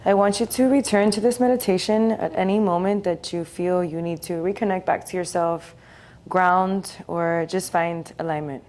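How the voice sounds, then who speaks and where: A woman leading a guided meditation in a soothing, gentle, unhurried way, leaving long pauses between phrases. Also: on the low side, steady tone.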